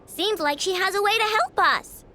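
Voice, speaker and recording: A woman's voice: extremely high pitch, moderate pace, background noise. A woman playing a plucky, stubborn young girl inventor in a video game, girlish, earnest and determined. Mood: resolved. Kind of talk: video game character acting.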